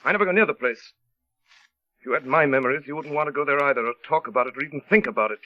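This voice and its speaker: A man's voice: mid-range voice, racing, natural voice. Man playing brusque troubled husband, dull old radio sound. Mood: angry, bitter. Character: brusque, troubled husband, master of a country house. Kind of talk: 1940s radio acting. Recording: muffled vintage radio recording.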